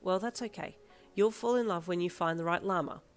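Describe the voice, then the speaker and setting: Woman voicing a sulky teenage girl, deadpan, muttered, lowish voice. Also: moderate pace, creaky, faint music behind. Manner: flat and muttered, flaring into shouting.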